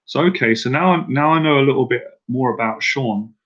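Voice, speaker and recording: A man's voice: mid-range voice, fairly quick, highs cut off. A man coaching viewers on a live stream about talking to women, speaking casually and directly, repeating himself and asking viewers to answer in the chat. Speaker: dating confidence coach.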